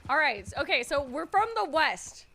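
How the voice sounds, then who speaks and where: Loud, nervous woman streamer, bright and forceful, a bit high, with faint noise behind. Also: very animated, normal speed. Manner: loud and chatty; swears freely, thinks out loud. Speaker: streamer playing a roleplay character. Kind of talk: casual chat to stream viewers.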